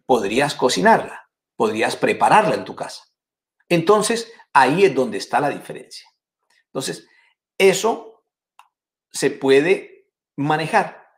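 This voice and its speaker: Male host, 40s, conversational advice to camera, gestures a lot, home office mic. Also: mid-range voice. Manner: warm conversational, lively hand gestures. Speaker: financial educator and host. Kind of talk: casual advice talk to camera. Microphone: podcast mic in front.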